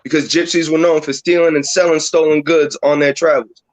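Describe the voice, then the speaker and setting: A man's voice: on the high side, level tone, a little fast, rough recording. A man with a New York accent who has just woken up, explaining firmly in a casual group chat as a podcast co-host. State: just woke up, deep morning voice.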